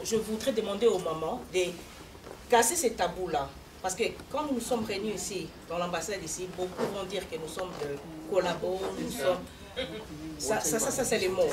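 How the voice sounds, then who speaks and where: A woman from Congo speaking earnestly at a community meeting, repeating herself and restarting as she makes her point. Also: mid-range voice. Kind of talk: impassioned public address. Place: embassy hall. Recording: echoey room, mic far off, crowd behind.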